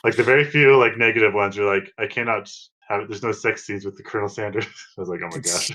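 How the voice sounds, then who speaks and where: Low-voiced man chatting on a podcast. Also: fast, bright, forceful tone, a little hiss or hum. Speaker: podcast co-host. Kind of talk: casual chat.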